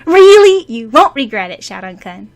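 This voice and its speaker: A woman voicing a cheerful, caring and excitable teenage schoolgirl in an expressive audiobook reading. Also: high-pitched, moderate pace, pitched above the performer's own voice. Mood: delighted. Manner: exclaiming, expressive.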